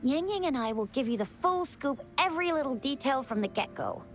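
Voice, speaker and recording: Young female game character, very high voice, bubbly, chatty, eager, excitable, music behind. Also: brisk. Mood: upbeat, chatty. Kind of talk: video game character voice acting. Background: background game music.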